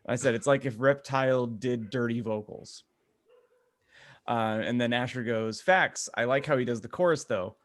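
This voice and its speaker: A man's voice: medium pitch, moderate pace. Casual male podcast co-host, jokey. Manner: jokey, laughs while talking. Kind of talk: casual group chat, retelling exchanges.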